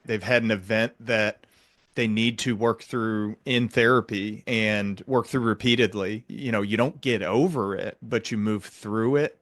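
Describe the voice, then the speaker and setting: A man hosting a podcast as a clinician, giving conversational clinical commentary in a measured, explanatory way. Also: on the low side, steady tone, a bit bright, no room sound.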